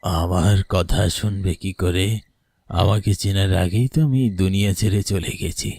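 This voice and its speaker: Man voicing a very deep, menacing ghost schoolmaster. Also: level tone, moderate pace, a little mellow, no echo, studio quality. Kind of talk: dubbed horror cartoon dialogue. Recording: music under the voice.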